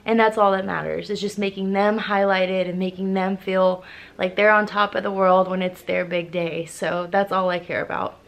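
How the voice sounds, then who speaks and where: A young woman vlogging in her bedroom, talking to a handheld camera with a hoarse, scratchy voice, bubbly and gushing. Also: medium pitch, little pitch movement, moderate pace. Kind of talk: casual vlog talk to camera. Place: bedroom, indoors. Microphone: handheld camera at arm's length.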